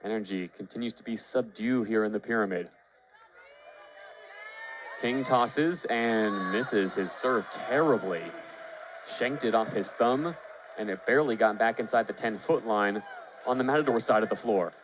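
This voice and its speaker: A man's voice: fairly low pitch, normal speed, pauses often, some chatter behind, low-quality recording. Male radio play-by-play announcer over venue noise. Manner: descriptive, fluent, lifts voice on big plays. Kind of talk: live sports commentary for baseball and volleyball. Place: live at a sports venue.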